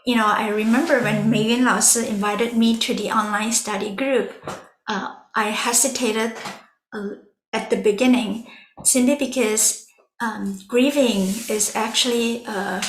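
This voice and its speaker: A woman giving a prepared sharing talk online, gentle and measured, with some fillers. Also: on the high side, steady tone, reverberant, slight background noise, low-quality recording. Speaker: volunteer speaker.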